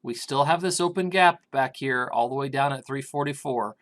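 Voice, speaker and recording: Man, middle-aged, raspy voice, hesitant, casual market commentary, mid pitch. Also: normal speed, fairly bright tone. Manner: hesitant, many ums and restarts. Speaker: grain market consultant.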